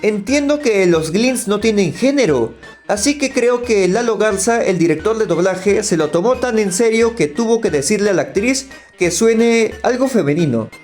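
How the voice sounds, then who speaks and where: A man's voice: high voice, animated, moderate pace, a little mellow. A man delivering scripted critical commentary in a voice-over. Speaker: video critic. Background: faint music.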